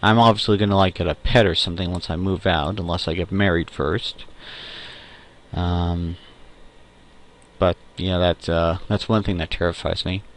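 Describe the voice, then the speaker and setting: Young man, lowish pitch, casual solo monologue, rambling, halting, pause-filled delivery. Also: steady tone. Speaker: audio log host.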